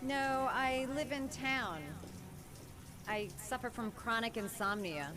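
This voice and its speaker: A woman's voice: a little high, slow pace. An actress playing a friendly but guarded young woman photographer in a video game, speaking a little hesitantly. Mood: guarded, casual. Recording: music and rain behind.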